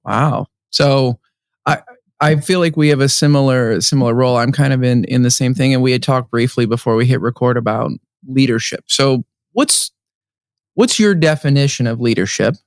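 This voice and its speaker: A co-host chats casually with his guest and often restarts his sentences. His voice sits at an ordinary pitch. The sound is dry and close. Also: moderate pace, no room sound. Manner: chatty, with restarts and hedges. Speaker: podcast co-host. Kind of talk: casual interview chat. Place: conference venue.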